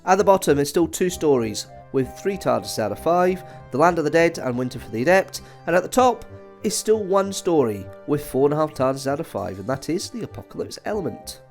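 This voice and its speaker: A man's voice: on the high side, sing-song, a little fast, soft background music. Conversational man giving a review. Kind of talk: video review commentary.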